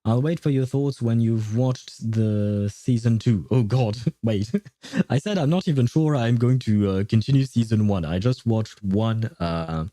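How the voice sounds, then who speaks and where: A man hosting a livestream, chatting casually with viewers in a halting way, often chuckling. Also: medium pitch, mellow, dry recording. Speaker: livestream host. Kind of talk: casual chat with live stream viewers.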